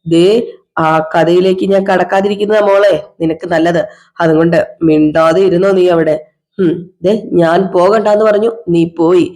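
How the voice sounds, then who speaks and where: Drunk, sulking husband, played by a woman: slow sarcastic drawl, mellow dark voice. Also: lowish voice, steady tone, natural voice. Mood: sarcastic, bitter. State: drunk (as acted). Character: drunk, bitter middle-aged husband, self-pitying. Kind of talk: one-person domestic drama skit.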